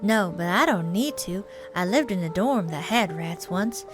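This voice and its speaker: A woman voicing a mild, earnest male pastor in a visual novel, gentle, wordy and prim, with music behind. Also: lowish voice, lively intonation, measured pace. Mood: worried, earnest. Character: mild, earnest male small-town pastor, fretful and devout.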